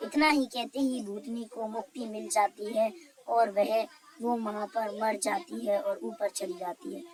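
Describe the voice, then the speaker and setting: A woman's voice: mid-range voice, fairly even intonation, unhurried, darkish tone, low-quality recording. A woman storyteller narrating a cartoon tale.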